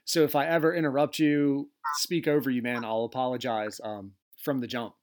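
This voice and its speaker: A man's voice: mid-range voice, level tone, moderate pace. A man hosting a podcast over a video call, laid-back and casual, swearing and laughing easily. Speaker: podcast host. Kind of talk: casual interview chat. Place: home in Delaware.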